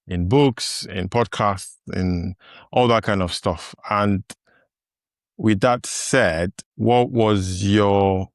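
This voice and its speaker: Low-voiced man hosting a podcast. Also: very slow, dry recording, clean studio sound. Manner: thoughtful, lots of fillers and restarts, laughs easily. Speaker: podcast host. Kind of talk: casual conversation.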